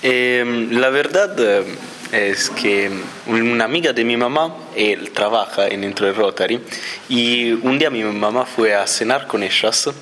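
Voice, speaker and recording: A man's voice: medium pitch, unhurried, fairly bright tone, slight background noise. A young man from Italy, a native Italian speaker, answering interview questions hesitantly and searching for words. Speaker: exchange student guest.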